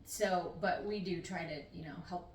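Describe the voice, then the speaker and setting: A woman's voice: fairly low pitch, steady tone, normal speed. A middle-aged woman, a nurse practitioner, answering interview questions in an easygoing, talkative, slightly meandering way. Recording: roomy, distant mic, faint noise.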